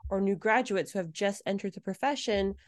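A woman's voice: mid-range voice, a little fast. A woman co-hosting a podcast, chatting casually and laughing easily. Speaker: podcast co-host.

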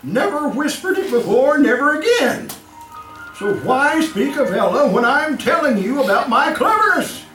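An actor playing a boastful, cunning mad serial killer in old-time radio horror style, with a chuckling, halting, sinister delivery. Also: male voice, very high-pitched, sing-song, bright, forceful tone, heavily acted. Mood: agitated, imperious. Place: live theatre stage performance. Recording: echoey live stage recording, distant mic, music.

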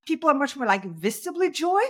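A middle-aged woman from Norway, a guest in an interview, telling her story in a conversational way and lifting her pitch sharply on key words. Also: big pitch swings, fairly quick. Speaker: guest speaker.

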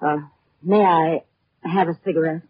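An actress playing a sardonic, flirtatious former band singer in a 1940s radio detective drama, with a cool, teasing, dry manner. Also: female voice, sits low, fairly even intonation, measured pace, slight background noise. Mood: shaken. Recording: thin, narrow vintage radio recording.

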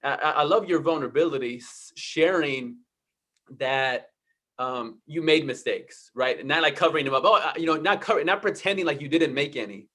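A man hosting an interview, responding in a warm, affirming, reflective way and often stumbling over repeated words. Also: on the high side, moderate pace. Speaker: interview host. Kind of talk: conversational interview.

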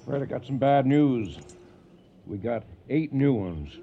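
An actor playing a steady, fatherly veteran cattle drover in a radio western, measured and matter-of-fact, with an American accent. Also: mid-range voice, phone-quality sound, a little hiss or hum. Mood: calm.

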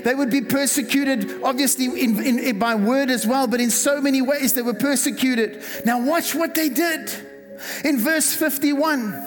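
A South African pastor preaching a fervent sermon, repeating words and calling on the congregation to respond. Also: male voice, very high voice. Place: church hall. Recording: reverberant hall with music behind. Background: music.

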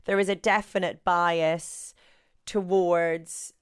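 A woman's voice: on the low side, slow, clean tone. Gregarious Northern Irish woman. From Northern Ireland. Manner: animated, gregarious, self-deprecating. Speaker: sports journalist guest. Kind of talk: casual interview chat.

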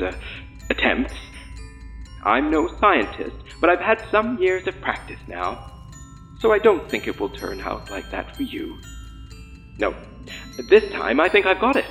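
An actor playing a lonely, gently menacing creature in an audio drama, hushing and confiding in a halting way. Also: medium pitch. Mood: pained, pleading.

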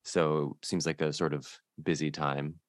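A man's voice: very deep voice, flat delivery, a little slow, no room sound, studio quality. A man hosting a casual interview, asking rambling, hesitant questions with light laughs. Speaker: podcast host.

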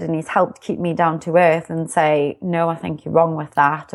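Bubbly young British woman, a bit low. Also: darkish tone. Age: young adult. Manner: bubbly, upbeat, self-reflective. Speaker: guest, success coach. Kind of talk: casual interview chat.

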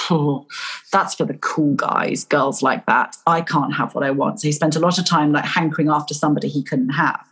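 Husky-voiced woman coach. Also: deep voice. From Yorkshire, England. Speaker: guest coach. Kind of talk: casual podcast interview.

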